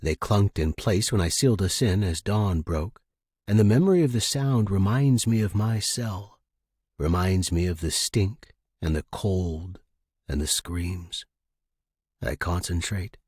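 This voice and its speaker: A man's voice: lowish voice, darkish tone, husky, no room sound, studio quality. A man hosting a fiction podcast, chatting informally and reading aloud. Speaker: podcast host and story reader. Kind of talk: casual podcast intro and dramatic story narration.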